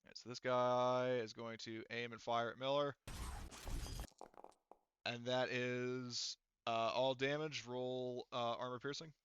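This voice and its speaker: A man acting as game master for a tabletop roleplaying game, narrating casually and haltingly as he thinks through the rules aloud. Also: mid-range voice, little pitch movement, a bit bright, muffled recording, a little hiss or hum.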